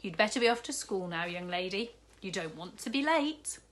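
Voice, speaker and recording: A woman voicing a big, jolly, kindly male shopkeeper in a storybook reading, warm and fatherly. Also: medium pitch, expressive, moderate pace, natural voice.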